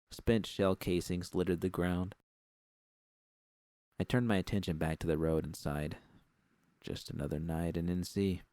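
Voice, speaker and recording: A man's voice: deep voice, darkish tone, dry recording. A man reading a first-person story as an audiobook narrator, in a scripted literary style.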